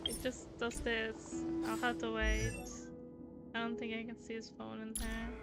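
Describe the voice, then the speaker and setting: A woman with a fairly high voice gives casual gameplay commentary at an unhurried pace. She muses aloud tentatively while loud game music plays behind her. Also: completely flat delivery. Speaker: game streamer.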